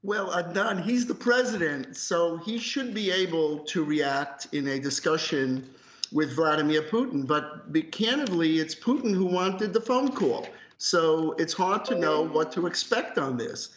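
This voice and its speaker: A man's voice: a little high, lively intonation, unhurried, a bit bright, a little hiss or hum. A man speaking as an academic guest analyst on live news, conversational but emphatic, opinionated and direct. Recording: roomy echo, slightly muffled call audio.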